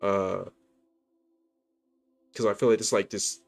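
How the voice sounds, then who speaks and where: A man's voice: on the low side, fairly even intonation, slow pace, creaky. A young man streaming a game, chatting casually in a rambling, laid-back way. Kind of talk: casual gameplay commentary. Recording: stream with faint game music.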